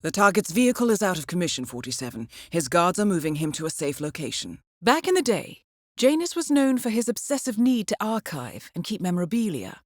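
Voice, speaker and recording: A woman playing a composed, calculating intelligence handler in a video game, giving measured, precise mission briefings with dry, understated wit. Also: lowish voice, very animated, no room sound.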